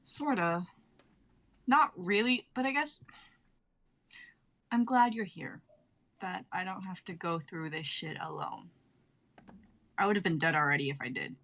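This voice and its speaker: A woman's voice: fairly low pitch, measured pace, long pauses, a bit bright. Woman playing a wary, tough young woman. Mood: vulnerable, candid. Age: young adult. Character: wary, tough young woman adventurer, guarded but candid. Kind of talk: online dramatic script reading.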